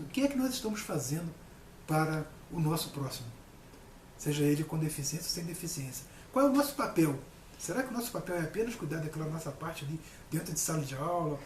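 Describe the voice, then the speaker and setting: A man, middle-aged, giving an informal talk as a lecturer, earnest and emphatic, repeating phrases for stress. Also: a little high. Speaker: university lecturer and guest speaker. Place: indoor room with people present. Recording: echoey room, distant mic, faint noise.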